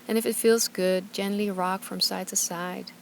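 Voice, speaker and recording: Calm woman guiding yoga, background noise. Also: medium pitch, normal speed, creaky. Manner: calm, gentle, soothing guidance with short phrases. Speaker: yoga and meditation teacher. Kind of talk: guided yoga instruction.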